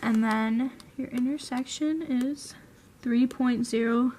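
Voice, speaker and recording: A woman's voice: a little high, slow, darkish tone, slight background noise. A woman giving methodical step-by-step instructions for a calculator. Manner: methodical listing of steps. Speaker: student explaining a calculator.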